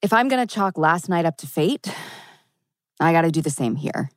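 A woman's voice: low voice, lively intonation, moderate pace, no room sound, clean studio sound. A woman playing a resigned middle-aged old flame on the run, in a natural dramatic table read.

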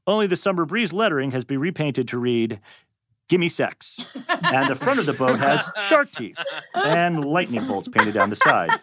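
A man's voice: mid-range voice, very animated, moderate pace, bright, forceful tone. A man reading stage directions as the narrator of a scripted table read.

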